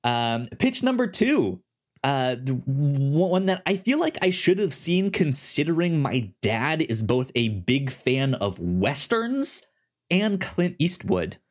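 A man co-hosting a movie podcast, talking casually with a halting, stammering delivery that often repeats words. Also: on the high side, sing-song. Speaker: podcast co-host. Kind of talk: casual chat pitching films on a movie podcast.

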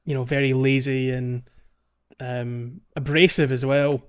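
A man co-hosting a casual gaming podcast, talking in a halting way with thoughts that trail off. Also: mid-range voice, steady tone, clean tone, highs cut off. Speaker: podcast co-host.

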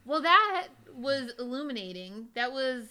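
A woman's voice: high voice, sing-song, unhurried, pushed and bright. A woman co-hosting a comedy podcast, chatting casually. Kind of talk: casual banter.

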